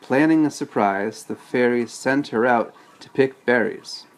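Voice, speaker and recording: A man's voice: medium pitch, unhurried, low-quality recording. A man reading a storybook aloud as a father, patiently breaking off to explain things casually to a child.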